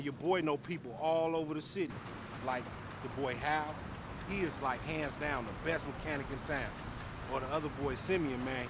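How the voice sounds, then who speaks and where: An actor playing a boisterous, friendly young street hustler in a video game, with an American accent and slangy, swaggering delivery. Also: male voice, on the high side, background noise, lightly acted.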